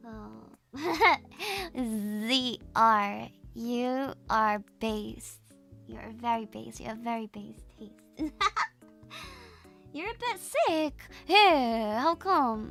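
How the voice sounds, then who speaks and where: Bright high-voiced woman streamer. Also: creaky. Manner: chatty, laughs often, swears casually. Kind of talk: casual livestream chat with viewers.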